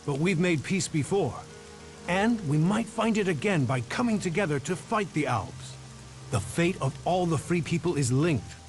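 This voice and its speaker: Stern game faction leader, male actor: higher-pitched, commanding, distrustful, deliberate. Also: natural voice. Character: wary, commanding leader resisting an enemy faction. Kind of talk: video game voice acting.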